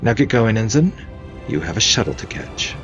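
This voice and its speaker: A man acts out an older woman officer in a science fiction audio story. The major speaks in crisp, measured military phrases with a dry, mentoring wit. The pitch is lifted, and the slightly dull recording has music beneath it. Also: big pitch swings. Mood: brisk. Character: older woman navy major, formal, dryly witty mentor. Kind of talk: audio fiction character dialogue.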